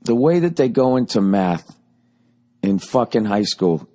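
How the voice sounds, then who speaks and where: A man in his forties with a Boston accent, ranting sarcastically and profanely in a rambling comic monologue, his voice going a little hoarse. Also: fairly low pitch, very animated, a little slow, mellow. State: voice getting hoarse. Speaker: podcast host.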